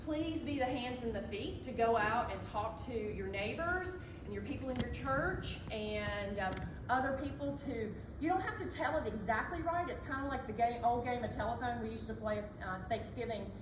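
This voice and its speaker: Loud earnest woman addressing a room. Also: on the high side, moderate pace, hardly any pauses. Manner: earnest, encouraging, loud speaking voice. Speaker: community speaker. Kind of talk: public address. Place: library meeting room. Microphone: not using the microphone. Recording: echoey room from far off, noisy.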